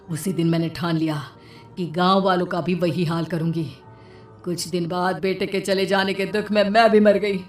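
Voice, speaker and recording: A woman voicing a vengeful, cackling female witch ghost who sells bananas in a cartoon story, gloating and drawn out, over soft music. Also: medium pitch, lightly acted.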